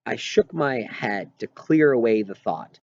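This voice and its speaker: A man reading a children's novel aloud as scripted narration, with expressive storytelling delivery. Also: medium pitch, unhurried, dry recording, muffled recording. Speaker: audiobook reader.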